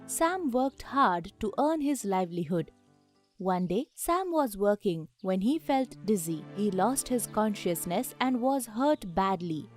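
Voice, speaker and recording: Woman reading out a story, medium pitch, bit of music under it. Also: very animated, measured pace. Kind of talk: scripted story narration.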